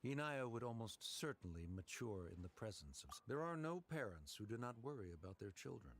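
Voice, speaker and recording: An actor playing a middle-aged scholarly father in a video game, proud and reserved, with formal, halting, awkward speech. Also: fairly low pitch, sing-song, a little hiss or hum. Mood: stiff, reserved.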